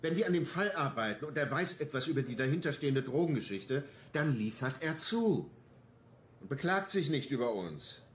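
Gruff, embittered detective for a radio crime play male actor, coarse and combative, swinging delivery, roomy sound with faint noise. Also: medium pitch, expressive, moderate pace, natural voice. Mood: combative, defiant. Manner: blunt, coarse, colloquial. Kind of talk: German radio crime drama acting.

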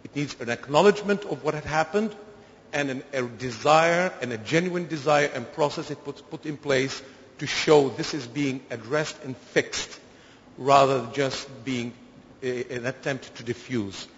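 A man from Syria making an earnest, emphatic intervention from the floor of a conference, with halting restarts. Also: mid-range voice, slight background noise. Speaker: conference participant. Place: large conference hall. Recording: echoey hall, dull lo-fi sound.

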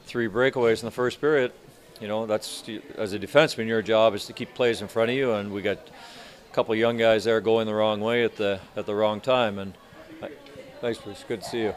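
An older man in his sixties, a hockey coach answering reporters after a game, speaking in a measured, halting way with many restarts. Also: fairly low pitch, steady tone. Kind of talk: postgame press interview. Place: arena media room in front of sponsor backdrop.

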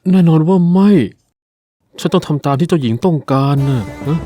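A woman's voice: fairly low pitch, normal speed, music in the background, lightly acted. A woman voicing an obedient, hesitant young prince under a spell in a children's fairy tale cartoon. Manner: submissive, hesitant.

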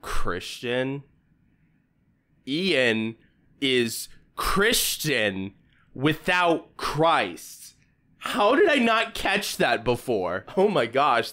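A man's voice: a little high, bright, forceful tone. A man in his twenties giving a wry, conversational and emphatic review into a studio mic. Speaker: video reviewer. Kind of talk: scripted casual review to camera. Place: small home studio room. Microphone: boom-arm studio mic close by.

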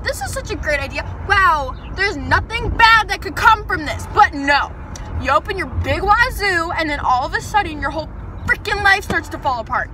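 A young woman rambling to a dashboard camera while sitting and driving in her car, chatty and exasperated, getting loud and then going soft. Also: very high-pitched. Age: young adult. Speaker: vlogger. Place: inside a parked and moving car, daytime. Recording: car with road noise.

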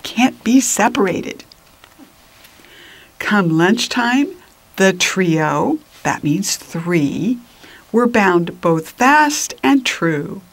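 An older woman reading a children's picture book aloud in an expressive, deliberate manner that drops into whispers, with little asides to the listeners. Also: mid-range voice. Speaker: children's storybook reader.

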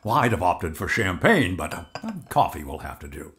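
An actor playing a jovial literary agent in an audio drama, effusive with flattery and fond of chummy teasing. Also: male voice, deep voice, normal speed.

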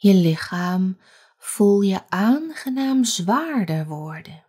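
A woman leading a guided sleep meditation as a yoga teacher, speaking softly and gently with long pauses. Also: mid-range voice, expressive, breathy edge.

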